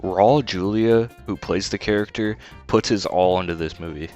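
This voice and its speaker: A man's voice: deep voice, moderate pace, a little mellow, dull audio. A man reading scripted review narration as a video host, blunt, disparaging and informal. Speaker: video essay host and film critic. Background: faint music.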